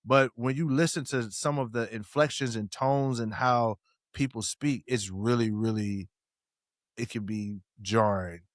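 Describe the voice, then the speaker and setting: A man with a medium-pitched voice chats on a podcast in an emphatic, slangy way, often doubling back on his words. The sound is close and dry, with no room around it. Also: unhurried, dry recording. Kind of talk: casual chat.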